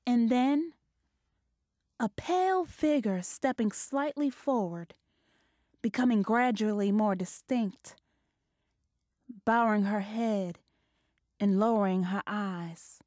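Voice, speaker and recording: A woman's voice: medium pitch, slow pace, pauses often, darkish tone, no room sound, clean studio sound. A woman narrating a scripted ghost story in a descriptive, literary style.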